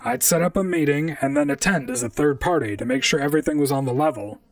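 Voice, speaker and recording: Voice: mid-range voice, animated, quick pace, vocal fry, no echo, distant mic. An actor voicing a wry, talkative robot private detective in an audio drama, speaking and narrating in a dry, conversational noir manner. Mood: wry, calm.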